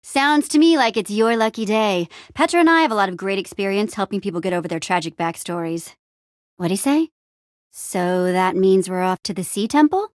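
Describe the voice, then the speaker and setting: A woman's voice: medium pitch, moderate pace, no room sound, studio quality, lightly acted. A woman playing an upbeat, plucky young female adventurer in a video game, with an eager, wry and casual way of talking. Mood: excited. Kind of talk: animated adventure game dialogue.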